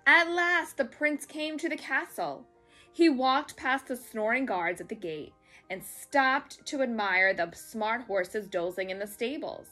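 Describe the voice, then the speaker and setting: A woman storyteller reading a children's story aloud in a dramatic, expressive way. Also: fairly high pitch, measured pace, soft background music.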